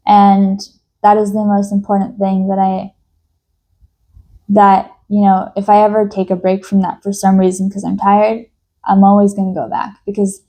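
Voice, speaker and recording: A young woman from New York speaks to her audience in a soft, reflective monologue. Her voice is mellow and warm, sitting in the middle of the range for a woman. She hesitates often, trailing off and restarting as she thinks. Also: medium pitch. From United States. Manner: thoughtful, hesitant, many fillers and restarts. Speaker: vlogger.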